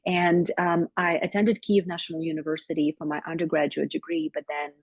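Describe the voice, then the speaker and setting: A woman from Ukraine with a Ukrainian accent, speaking earnestly as a panelist in a webinar, pausing often on fillers. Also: sits low, little pitch movement, no room sound. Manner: earnest, measured, frequent fillers. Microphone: video call. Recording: dull lo-fi video call audio.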